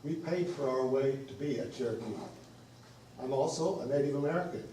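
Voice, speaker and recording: Earnest man in a booming hall. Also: mellow, a little hiss or hum. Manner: earnest, deliberate, repeats himself. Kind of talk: public meeting remarks. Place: large meeting room. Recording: echoey meeting hall from far off.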